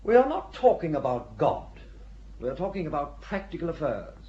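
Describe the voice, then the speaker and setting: An actor playing a blustering squire who swings between bossy orders and exasperated hesitation in a radio drama. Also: male voice, a little high, sing-song, moderate pace, dark tone, muffled recording. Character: blustering, self-doubting squire commanding a castle.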